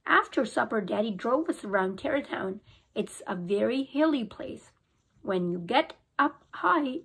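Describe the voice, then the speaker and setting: A young woman reads a children's book aloud in a lively, expressive storytelling way, her voice high and a little husky. She is recorded on a phone held close in a small room at home. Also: poor audio. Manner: expressive storytelling, occasional restarts. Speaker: teacher. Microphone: phone camera held close.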